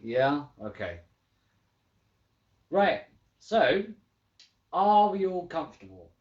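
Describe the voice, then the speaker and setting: Very low-voiced woman reading playfully to children. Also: takes it slowly. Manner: playful, expressive, teasing. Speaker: mother hosting a children's story time. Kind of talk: storybook read-aloud and casual chat to children. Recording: roomy, slightly dull home recording.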